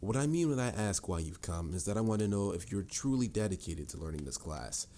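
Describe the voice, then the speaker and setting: A stern adult mage , played by a man, speaks in a low, mellow voice with formal, measured phrasing. He lectures the listener with dry exasperation, as a mentor in a fantasy audio roleplay. There is some noise and rumble behind him. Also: big pitch swings. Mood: grave.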